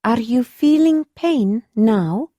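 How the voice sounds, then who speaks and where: A woman voicing a calm foreign healer with an unusual accent, asking careful, gentle questions. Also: a little high, slow pace, dry recording, voice pushed up. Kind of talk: audiobook character voice.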